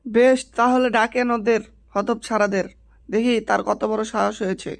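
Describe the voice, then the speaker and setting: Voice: medium pitch, fairly even intonation, normal speed, vocal fry, no room sound, natural voice. A performer voicing a stern, bossy male village headman, authoritative and threatening, in a comic Bengali drama style.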